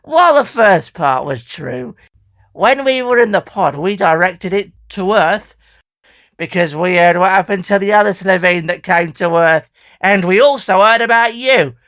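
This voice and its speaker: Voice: mid-range voice, lively intonation, a little slow, fairly bright tone. A performer voicing a vengeful, gloating alien villain in an audio drama, with a menacing, theatrical manner. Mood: gloating, indignant.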